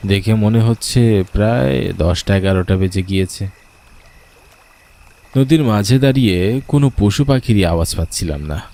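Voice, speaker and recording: A man's voice: deep voice, darkish tone. A man telling a scripted first-person horror story as a dramatic storyteller.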